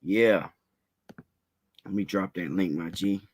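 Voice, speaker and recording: A man hosting a casual livestream interview, talking in relaxed slang, a little raspy from a head cold. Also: low voice, slow. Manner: laid-back slangy hype, fillers like "you know what I'm saying".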